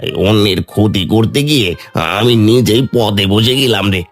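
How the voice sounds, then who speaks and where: Man acting as remorseful cartoon wrongdoer, fairly low, lamenting, moaning, music underneath. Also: steady tone, moderate pace, close to an everyday voice. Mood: despairing, remorseful. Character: wailing, remorseful village wrongdoer. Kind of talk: Bengali fairy-tale cartoon acting.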